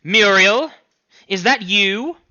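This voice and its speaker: A man's voice: sits high, takes it slowly, bright, forceful tone, dry recording, lo-fi sound, pitched above the performer's own voice, full character voice. A man voicing an anxious, overwrought middle-aged mother in an audiobook story, fretful and emphatic as she scolds. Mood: worried, insistent.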